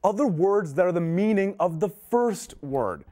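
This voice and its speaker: A woman's voice: lowish voice, lively intonation, a little slow, dark tone. A woman playing a flippant, puzzled student in a scripted instructional play. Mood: puzzled, protesting. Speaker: readers theater performer. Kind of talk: scripted instructional stage dialogue.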